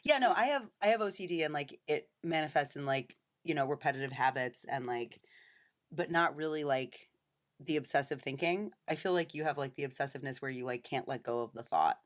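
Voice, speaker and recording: Chatty New York woman, lowish voice. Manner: chatty, fast storytelling with lots of fillers. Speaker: podcast guest. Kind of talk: casual chat.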